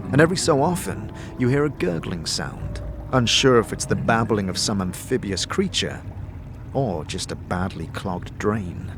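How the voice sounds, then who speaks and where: Lively male fantasy audio drama narrator. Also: on the low side, normal speed, over music. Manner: descriptive second-person storytelling. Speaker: narrator, game master. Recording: clear background noise, ambience.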